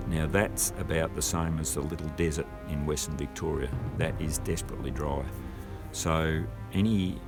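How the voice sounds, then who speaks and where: Very deep-voiced older Australian man. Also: vocal fry. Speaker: homeowner and gardener showing his water tanks. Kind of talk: casual explanatory talk.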